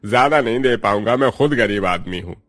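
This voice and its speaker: A man playing a poor street food vendor in a cartoon story, gruff but kindly and plain-spoken. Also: on the low side, lively intonation, fairly quick, a bit bright. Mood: relenting.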